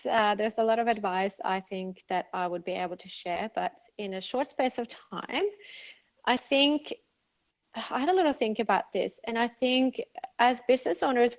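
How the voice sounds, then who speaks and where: A woman's voice: a little high, moderate pace. Chatty Norwegian-accented woman. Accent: Norwegian, partly faded. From Norway. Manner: chatty, many fillers and restarts. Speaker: clinic owner guest. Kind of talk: casual interview answers.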